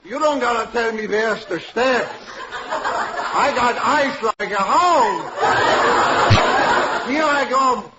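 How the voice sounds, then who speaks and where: High-pitched grumpy comic professor. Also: male voice, animated, slow, pauses often, roomy sound, over a noisy crowd, exaggerated voice. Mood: irritable, cocksure. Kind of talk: 1940s radio comedy acting. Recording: muffled, very noisy vintage radio.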